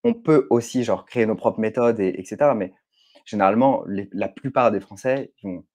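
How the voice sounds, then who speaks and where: A man chats about business on a podcast. His voice is dark and mellow, and he hesitates often, filling gaps with casual slang. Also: on the low side, fairly quick. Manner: hesitant, filler-heavy, "genre" slang. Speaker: podcast co-host, publishing founder.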